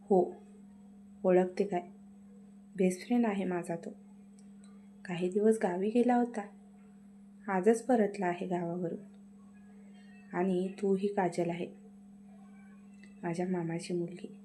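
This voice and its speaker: A gentle young college woman patiently talks two friends out of a fight, as a woman narrator voices her. Her tone is mellow and unhurried, with faint hiss in the background. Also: medium pitch, close to an everyday voice. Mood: explaining. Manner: soothing, explaining.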